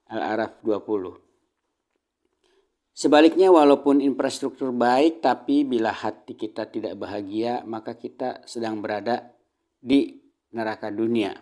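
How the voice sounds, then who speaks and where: Measured Indonesian man lecturing, mellow voice. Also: medium pitch. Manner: measured, didactic, phrase-by-phrase delivery with frequent throat clearing. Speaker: religious lecturer. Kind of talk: Islamic sermon, delivered as a prepared lecture.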